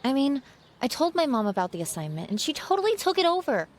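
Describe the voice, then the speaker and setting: A woman's voice: high-pitched, big pitch swings, quick pace, a little mellow. A woman playing an unsure, self-doubting seventeen-year-old girl art student in an audio drama, with a youthful, hesitant manner. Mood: weary, exasperated.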